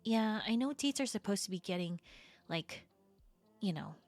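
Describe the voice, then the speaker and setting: Woman acting flirty, expressive best friend, close and dry. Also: medium pitch, moderate pace, no room sound, soft background music, close to an everyday voice. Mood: sheepish, casual. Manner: casual, expressive, hesitant trailing-off phrases. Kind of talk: intimate audio roleplay acting, conversational.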